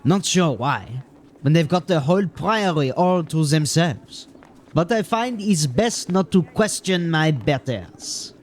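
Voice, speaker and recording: A man's voice: a little high, expressive, slow pace. A man playing a plain-spoken, deferential gatekeeper of a medieval town in an audio drama, musing in folksy turns of phrase.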